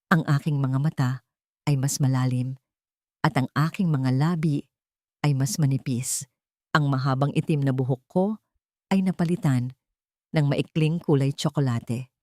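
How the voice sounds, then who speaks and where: A Filipino woman reading a first-person drama story aloud in a measured, earnest way. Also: rumbling low, fairly even intonation, darkish tone, no echo, clean studio sound. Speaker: audiobook narrator.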